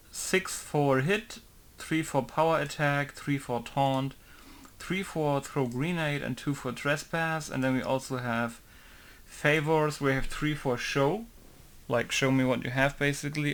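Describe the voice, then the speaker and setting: A man's voice: medium pitch, a bit bright. A young man casually talking through a game modding tutorial, rambling with many fillers and self-corrections. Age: young adult. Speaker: game modder.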